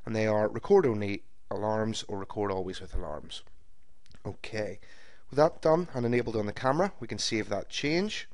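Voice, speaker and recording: A man giving a screen-recorded software tutorial, explaining each step in a steady, methodical way. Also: on the low side, moderate pace, dry recording. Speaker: software trainer. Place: voice-over recorded for a screen capture.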